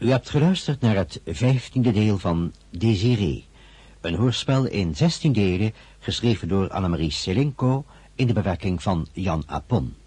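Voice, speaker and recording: Radio play announcer guy, low husky voice, dry sound, formal. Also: expressive, warm tone, no room sound. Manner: formal and measured.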